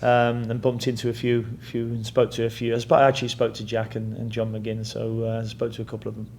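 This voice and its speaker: English football coach with a flat voice. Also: male voice, mid-range voice, fast, a little hiss or hum. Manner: plain-spoken, repeats words while thinking, lots of "you know". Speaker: head coach. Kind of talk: press conference answers.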